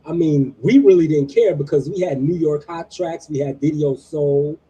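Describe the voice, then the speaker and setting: A man's voice: on the high side, normal speed, dark tone, breathy edge, lo-fi sound. A man on a casual panel chat, speaking in a matter-of-fact, reminiscing way. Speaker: guest on the panel. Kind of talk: casual conversation.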